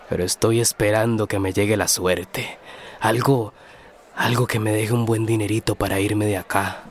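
A man's voice: on the low side, steady tone, measured pace. A world-worn male drifter in a radio drama. He rambles in a wry, resigned way, sighing between phrases.

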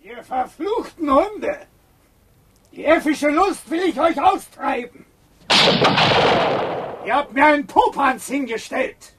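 An actor playing a raging, power-hungry marshal in a German radio play, shouting and cursing bombastically. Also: male voice, very high-pitched, expressive, takes it slowly, long pauses, recorded from a distance, slight background noise, heavily acted.

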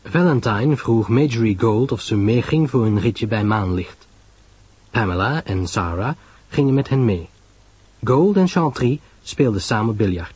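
A man's voice: lowish voice, expressive, unhurried, darkish tone, husky, no room sound. A man narrating a dramatised story from a script, as the storyteller between dialogue scenes.

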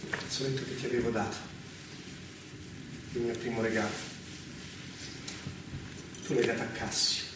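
A tormented, jealous general acted by a man in a Shakespearean radio tragedy. His delivery is theatrical, brooding and accusing, at a medium pitch. The voice rings in a very roomy space, some way from the microphone, under heavy noise. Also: steady tone, moderate pace, long pauses, lightly acted. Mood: brooding accusation. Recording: roomy, distant, very noisy stage recording.

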